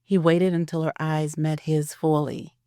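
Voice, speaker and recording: A woman narrating a scripted audio drama as a storyteller, in a soft and measured manner. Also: sits low, flat delivery, no room sound, clean studio sound.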